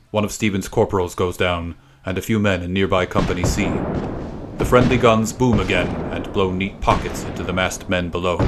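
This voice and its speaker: A man narrating a story from a script as a podcast reader, in a measured, suspenseful, dramatic style. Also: low-pitched, background noise.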